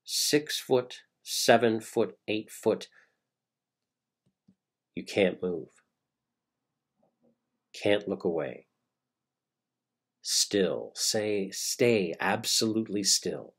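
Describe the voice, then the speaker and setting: A middle-aged man with a Canadian accent reading a dramatic first-person monologue in a theatrical, suspenseful way with deliberate pauses. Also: on the low side, animated, a bit bright. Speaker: playwright reading his own play. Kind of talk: dramatic autobiographical monologue, storytelling.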